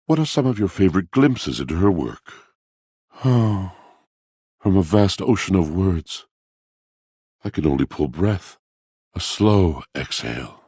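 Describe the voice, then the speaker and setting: A man voicing a pompous, melancholy talking washing machine in a video game, with gravelly, old-fashioned, florid and theatrical speech. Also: sits low, darkish tone, no echo, clean studio sound, full character voice. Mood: melancholy, moved. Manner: pompous, archaic, florid, brooding diction.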